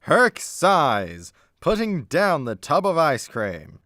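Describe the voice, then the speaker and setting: A man's voice: a little high, huge pitch swings, takes it slowly, a bit bright, no echo, clean studio sound. A man reading scripted narration for a radio play.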